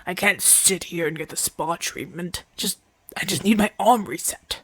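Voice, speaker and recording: A man's voice: high voice, normal speed, fairly bright tone, slightly rough, no echo. A man playing a proud, impatient, flirtatious champion gladiator in pain, brusque and grumbling, in an ASMR audio roleplay. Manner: brusque, demanding, grumbling, sighing through pain.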